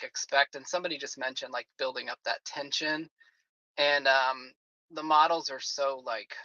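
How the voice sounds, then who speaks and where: Man, late 40s, bright forceful voice, dry close sound, slightly dull lo-fi audio, rambling chatty guest. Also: mid-range voice, no room sound. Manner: rambling, many "like" fillers. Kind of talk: casual chat.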